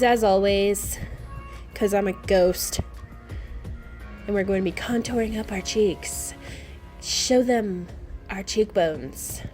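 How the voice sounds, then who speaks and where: A woman's voice: mid-range voice, darkish tone. A woman narrating a casual makeup tutorial in a playful, gushing, informal way.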